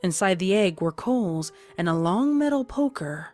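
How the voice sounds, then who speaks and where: A woman's voice: medium pitch, expressive, normal speed, a little mellow, soft background music. A woman narrator reading a dramatic fan fiction story in a scripted style.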